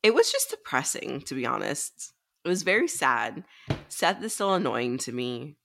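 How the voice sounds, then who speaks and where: A woman's voice: a bass voice, big pitch swings, normal speed, gravelly, a bit bright. A woman co-hosting a podcast in a casual chat about books. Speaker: podcast co-host.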